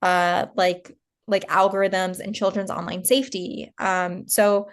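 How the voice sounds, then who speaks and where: Female co-presenter, medium pitch, informal clarifying explanations, conversational and halting phrasing. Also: flat delivery. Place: online group call.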